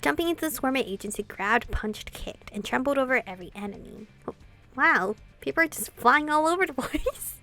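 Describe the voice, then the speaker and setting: A woman's voice: high-pitched, lively intonation, brisk, sharp tone, faint music behind. A woman reading a visual novel aloud and reacting casually, giggly and slangy with some casual swearing. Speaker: gaming video creator.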